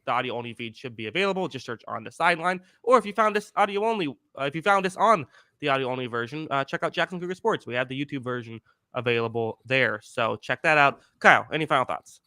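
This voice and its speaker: A man in his twenties hosting a podcast in casual chat, rambling with many fillers and restarts, with a higher-pitched voice. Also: lively intonation, fast talker, sharp tone, no room sound. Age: 20s. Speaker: podcast host.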